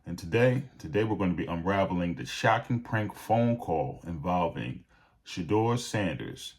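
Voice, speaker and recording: Deep-voiced man hosting a sports show. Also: animated, normal speed. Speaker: host. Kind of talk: casual sports commentary to viewers.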